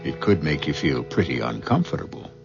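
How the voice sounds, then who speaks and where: An actor playing a knowing, ominous host narrating a 1970s radio mystery in a measured, wry, portentous way. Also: male voice, extremely low pitch, sing-song, creaky, exaggerated voice. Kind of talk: scripted radio drama narration. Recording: dull 1970s radio recording, music behind. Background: music.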